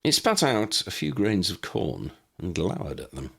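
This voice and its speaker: A man's voice: deep voice, very animated, measured pace, creaky, a bit bright, slightly rough. A man reading a scripted story aloud as an audiobook narrator. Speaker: audiobook narrator reading own fan fiction.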